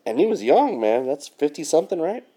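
A man's voice: fairly high pitch, very animated, fairly quick, dark tone. A man in his forties co-hosting a casual chat over a video call, wisecracking and swearing often. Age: 40s. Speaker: podcast co-host.

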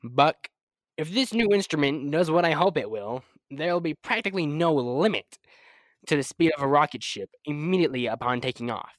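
A young man playing a proud, excitable scientist-inventor in old-time radio serial style, with a fussy, halting, stammering manner. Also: fairly high pitch, no echo, lightly acted. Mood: pleased, proud. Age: young adult. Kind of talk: 1930s radio serial acting.

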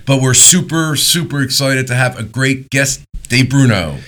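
Middle-aged American male host, average pitch, jokey and emphatic, casual on-air interview delivery. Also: moderate pace, fairly bright tone. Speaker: radio show and podcast host.

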